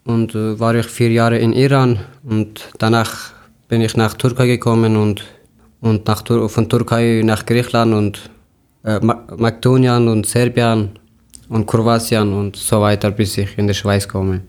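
A man's voice: on the low side, flat delivery, measured pace, darkish tone, clear, resonant tone. A young man from Afghanistan hosting a podcast interview, talking hesitantly with many fillers and restarts. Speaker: podcast host.

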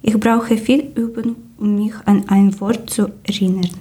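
A woman's voice: mid-range voice, fairly even intonation, a little slow, warm tone, breathy edge. A woman from Ukraine, politely asking questions and answering them on a podcast. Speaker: interviewer. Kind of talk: podcast interview.

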